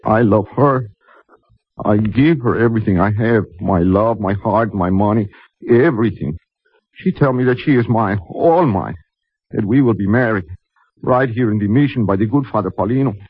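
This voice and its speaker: A man playing a passionate, fatalistic young man in a 1950s radio drama, with a Spanish accent and halting, melodramatic phrasing. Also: on the low side, breathy edge, no room sound. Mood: anguished confession. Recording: noisy, dull 1950 radio recording with clicks.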